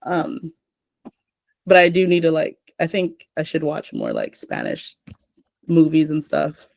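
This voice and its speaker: A woman's voice: low-pitched, huge pitch swings, measured pace, gravelly, a little mellow, no echo. A young adult woman hosting a casual chat, rambling with lots of filler words.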